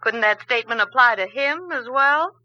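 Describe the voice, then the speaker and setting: An actress playing an earnest, resourceful young detective's assistant in a 1940s radio drama. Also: female voice, on the high side, lively intonation, moderate pace, bright, forceful tone. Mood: concerned, curious. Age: young adult. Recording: muffled, noisy 1940s radio broadcast.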